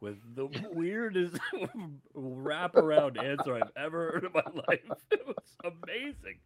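A man's voice: on the high side, sing-song, a little slow. A man co-hosting a casual podcast who teases the others and laughs as he talks. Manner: teasing, laughing while talking.

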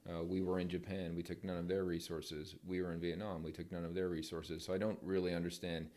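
A middle-aged man, a military veteran guest, giving measured, plain-spoken opinions in a casual podcast chat. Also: deep voice, level tone, darkish tone.

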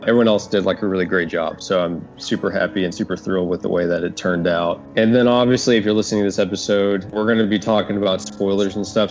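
Deep-voiced man hosting, lo-fi call. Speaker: host and audio drama creator. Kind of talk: casual interview chat. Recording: dull lo-fi call audio.

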